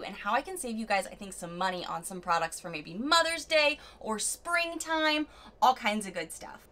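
A woman in her thirties chatting through a makeup review vlog at home, bubbly and gushing, a little raspy from a cold. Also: on the high side. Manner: bubbly, gushing, animated hand gestures. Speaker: beauty vlogger. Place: home room, indoors.

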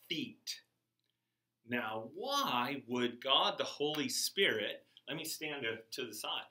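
An older man giving a chapel sermon to children in a classroom, speaking slowly and emphatically, his voice rising on key words. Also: mid-range voice, pushed and bright. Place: classroom with a whiteboard, indoors. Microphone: camera across the room. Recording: echoey classroom from far off.

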